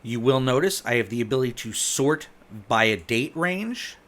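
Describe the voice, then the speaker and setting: Male presenter for a product demo: conversational, instructional, medium pitch, guides step by step. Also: animated, normal speed, a bit bright. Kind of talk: instructional product walkthrough narration.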